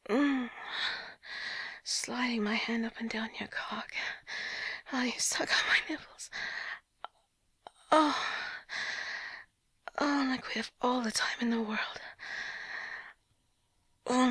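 A woman in an intimate audio roleplay, whispering breathily with soft sighs and slipping into French phrases. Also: a little high, breathy edge, dry recording.

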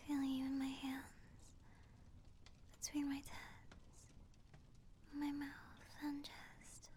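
A woman speaking in a breathy, often whispered voice, affectionate and intimate, as in adult roleplay audio addressed to a partner. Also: high-pitched, completely flat delivery, slow, dark tone, slight background noise.

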